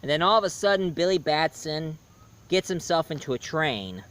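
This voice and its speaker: A man giving a casual spoken review, hoarse, rambling and correcting himself mid-sentence. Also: on the high side, expressive, normal speed, fairly bright tone. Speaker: movie and comics reviewer.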